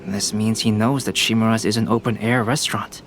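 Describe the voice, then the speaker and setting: A man voicing a calm, analytical young scholar in a video game, articulate and courteous, reasoning in a measured way. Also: lowish voice, over music, close to an everyday voice. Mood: analytical, composed.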